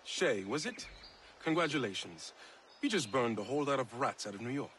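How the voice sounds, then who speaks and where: An actor playing a confident, plain-spoken soldierly comrade who speaks directly, in a video game cutscene. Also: male voice, medium pitch, expressive, fairly quick, fairly bright tone, lightly acted. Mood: friendly. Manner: direct, declarative. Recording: faint background noise.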